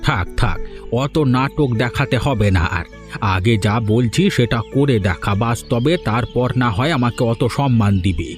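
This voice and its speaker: An older village uncle from a cartoon, voiced by a man, stern but fair. His mid-pitched Bengali has a West Bengal accent and an admonishing, authoritative manner, with music playing behind. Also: measured pace, lightly acted. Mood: wary, admonishing. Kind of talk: cartoon voice acting.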